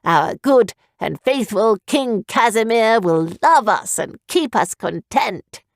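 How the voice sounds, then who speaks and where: Woman doing a blustering pompous male orator, gravelly edge, dry close sound. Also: fairly high pitch, lively intonation, slow pace, no room sound. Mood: soothing. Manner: blustering, self-assured.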